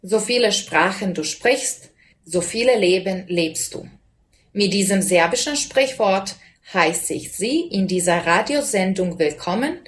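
A young woman, a student, hosting a radio show and reading her prepared introduction in a clear, even way. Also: medium pitch. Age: young adult. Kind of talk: scripted radio presentation.

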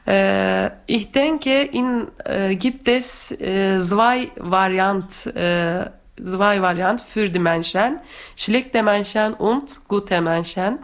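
Female guest teacher from Turkey, Turkish accent, halting and careful non-native speech, unhurried, for personal talk and poetry reading. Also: medium pitch.

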